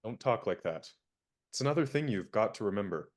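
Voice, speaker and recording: A young man acting an indignant valet in a radio play, crisp and lecturing. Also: lowish voice, lively intonation, brisk, lightly acted. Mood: stern.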